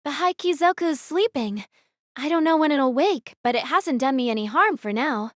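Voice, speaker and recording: A woman's voice: sits high, animated, normal speed, no echo, clean studio sound. A woman playing an earnest, guarded young female platoon leader in an audio drama, speaking in a serious, firm manner. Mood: grave, controlled.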